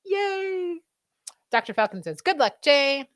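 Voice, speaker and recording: A woman's voice: high-pitched, big pitch swings, slow pace, fairly bright tone, no room sound, clean studio sound. A woman with a scratchy voice hosting a live stream, chatting casually and reading out viewer comments. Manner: chatty, rambling, reads comments aloud. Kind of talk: casual chat.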